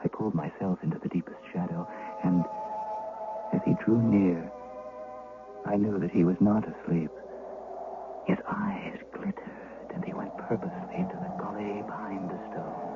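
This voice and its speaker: An actor playing a grave, conscience-stricken older servant of a Duke, speaking formally and solemnly in a radio drama. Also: male voice, sits low, lively intonation, moderate pace, long pauses, in a whisper, loud music behind the voice. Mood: grave, haunted. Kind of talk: 1970s radio drama acting and dramatic first-person narration. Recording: tinny, noisy old radio broadcast.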